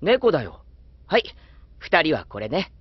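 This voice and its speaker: An actor playing a gentle, easygoing young man with a soft voice in a Japanese anime audio drama. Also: fairly high pitch, sing-song, a little slow, slight background noise. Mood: mild, easygoing. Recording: dull lo-fi audio, faint music.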